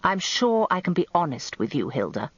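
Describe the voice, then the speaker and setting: A woman's voice: fairly low pitch, big pitch swings, normal speed, no echo, exaggerated voice. A woman voicing suave older Englishman, dry sound. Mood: confidential. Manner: smooth, businesslike. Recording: slightly dull, lo-fi radio recording, dry.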